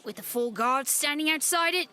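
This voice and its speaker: A woman's voice: high-pitched, animated, normal speed, pushed and bright, a little hiss or hum. A woman playing a watchful, responsible older sibling, still a youngster, in an audio drama. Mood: serious.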